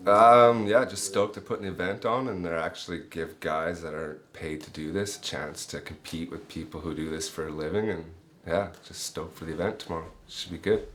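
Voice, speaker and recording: A man's voice: sits low, fairly even intonation, a little fast, hardly any pauses, a bit bright. A male surfer from Vancouver Island in Canada, giving a laid-back contest interview in short, plain sentences.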